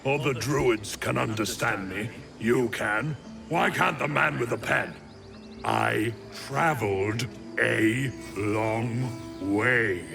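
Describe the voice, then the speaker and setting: An actor voicing a slow, earnest, plaintive talking bear with a gravelly voice in a video game. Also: male voice, mid-range voice, over music. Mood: pleading. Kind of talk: video game creature acting.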